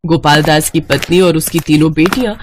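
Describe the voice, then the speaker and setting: A woman's voice: low-pitched, fairly even intonation, a little fast, a little mellow, a little hiss or hum. A woman narrator reading a scripted story.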